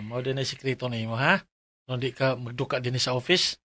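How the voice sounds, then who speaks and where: A man's voice: medium pitch, steady tone, normal speed, pushed and bright, no echo. An actor playing a friendly, chummy male coworker who gives advice in a Filipino radio drama, with a Cebuano accent. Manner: chummy, colloquial. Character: friendly, advising male office buddy.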